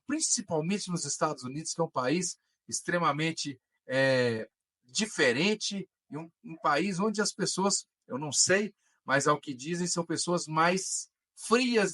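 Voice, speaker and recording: Male podcast interviewer, Brazilian accent, somewhat high voice. Conversational, halting delivery while phrasing questions. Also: a bit bright. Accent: Brazilian Portuguese.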